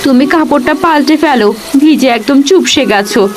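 A woman's voice: sits high, a little fast, lightly acted. A woman voicing a caring, worried village wife and mother in a cartoon. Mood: soothing. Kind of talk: cartoon voice acting. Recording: noisy, rain-like background.

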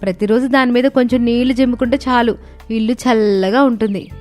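A woman voicing a resourceful young daughter-in-law in a cartoon story, explaining things calmly and patiently. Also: a little high, brisk, soft background music, close to an everyday voice.

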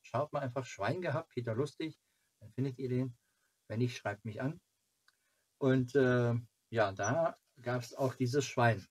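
A man in his forties from Germany chatting casually to camera as a fan channel host, in a halting, rambling way. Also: medium pitch, a little mellow, breathy edge. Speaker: fan club video host. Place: small cluttered home room.

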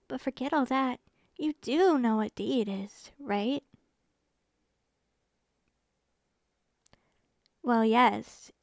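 A woman voicing a possessive, obsessive young girlfriend in an ASMR roleplay, speaking sweetly and intimately with soft whispers and a creepy edge under the doting. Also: fairly high pitch, vocal fry, no echo, clean studio sound. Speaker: ASMR voice actress.

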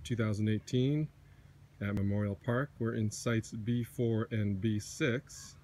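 Unhurried man touring his campsite. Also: on the low side, fairly even intonation, darkish tone, a little hiss or hum. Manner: unhurried, pointing things out, small self-corrections. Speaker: camper giving a campsite tour. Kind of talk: casual walkthrough narration. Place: outdoor forest campsite. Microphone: handheld phone camera, speaker behind it.

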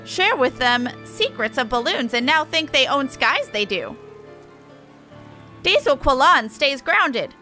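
Woman voicing a squawky, bright birdlike creature. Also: high-pitched, big pitch swings, slow, soft background music, full character voice. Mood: indignant. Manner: squawking, speaks in inverted sentences. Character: gruff, broody birdlike beast-tribe creature with inverted grammar.

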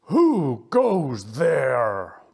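A woman playing a stern, slow-talking male cheetah. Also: mid-range voice, animated, a little mellow, pitched below the performer's own voice, natural voice. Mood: stern, commanding. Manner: slow, commanding, menacing. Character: magnificent stern male cheetah, calm and scary, commanding. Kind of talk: children's animal story character voice.

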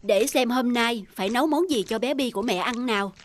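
A woman's voice: on the high side, a little fast, a little hiss or hum, close to an everyday voice. Woman playing a caring young cartoon mother. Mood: affectionate. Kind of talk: cartoon dialogue.

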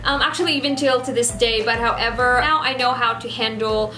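A 27-year-old woman from the Philippines with a Filipino accent and Ilonggo as her first language, chatting casually to camera in an animated way as she lists facts. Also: on the high side, a little fast. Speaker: vlogger. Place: small decorated room indoors. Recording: roomy echo, music behind.

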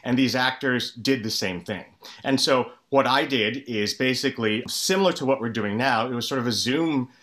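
A man's voice: mid-range voice, moderate pace, fairly bright tone. A man talking reflectively as a director on a video-call panel, indoors.

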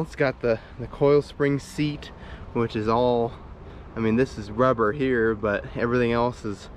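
A man in the middle of the male range chats eagerly while filming outdoors on a handheld camera. He rambles, restarts sentences and fills gaps with "uh". A faint outdoor noise sits under his voice. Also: mid-range voice. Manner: enthusiastic, rambling with fillers and restarts. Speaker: YouTube motorbike restorer. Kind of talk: casual show-and-tell vlog. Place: outdoors on a brick patio by a shed.

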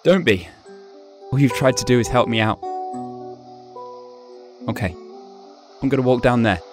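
A man playing a gentle, wistful, hesitant young ghost in a supernatural radio drama. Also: mid-range voice, a little slow, over music, natural voice. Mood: hesitant, resigned. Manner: hesitant, conversational.

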